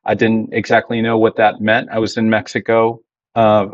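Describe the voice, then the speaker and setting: A middle-aged man from Ecuador, a pastor being interviewed, telling his story in a measured, reflective way. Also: fairly low pitch, completely flat delivery, dry recording, lo-fi sound. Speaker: Spanish ministry pastor, guest. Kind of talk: conversational interview.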